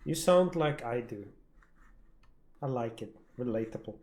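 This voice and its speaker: Wry game streamer, mid-pitched voice. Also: lively intonation, a little slow, darkish tone. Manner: wry, joking, sweary. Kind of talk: casual reaction commentary over gameplay.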